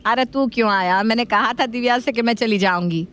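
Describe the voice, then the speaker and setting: A woman playing a doting, gullible grandmother with a homely, indulgent manner in an animated family story. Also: on the high side, brisk, lightly acted. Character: kindly, gullible, doting grandmother and mother-in-law.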